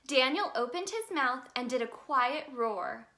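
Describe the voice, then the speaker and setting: A woman reading a children's story aloud in an expressive, sing-song way for young listeners. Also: high-pitched, measured pace. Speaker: children's storybook reader, in a tooth fairy persona.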